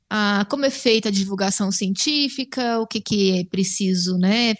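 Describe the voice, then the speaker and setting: A Brazilian woman hosts an interview, courteously thanking guests by name and putting questions to them. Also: mid-range voice. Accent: Brazilian Portuguese. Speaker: host, interviewer.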